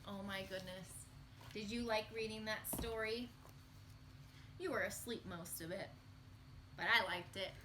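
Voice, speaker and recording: A woman reading a picture book aloud to young children in an expressive, sing-song storytelling manner. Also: mid-range voice, a little slow, long pauses, a little hiss or hum.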